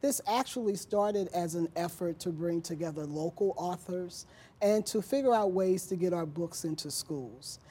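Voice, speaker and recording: A middle-aged woman speaks as an interview guest with a husky, slightly low voice. Her tone is dark and mellow, her delivery measured and earnest as she explains.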